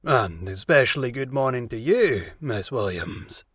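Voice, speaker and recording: A man playing a grinning, jovial pirate captain in an audio drama, with a drawn-out delivery. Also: medium pitch, animated, fairly bright tone, husky, dry recording, clean studio sound. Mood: cheerful, welcoming.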